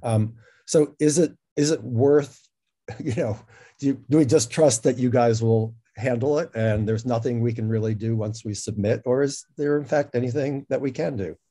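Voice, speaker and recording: A man's voice: mid-range voice, normal speed, warm tone. A man moderating a conversation, asking informal, wordy questions and correcting himself as he goes. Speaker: moderator and interviewer, a filmmaker. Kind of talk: conversational interviewing.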